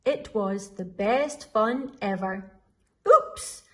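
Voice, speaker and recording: A woman reading a children's story aloud expressively, deliberately drawing out the dramatic sound words. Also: a little high, lively intonation. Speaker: school storyteller.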